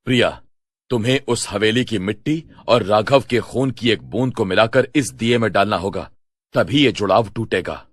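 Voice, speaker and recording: A man's voice: lowish voice, normal speed, no room sound, natural voice. A man playing a solemn occult holy man who gives ominous, urgent warnings in a horror audio story. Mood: urgent instruction.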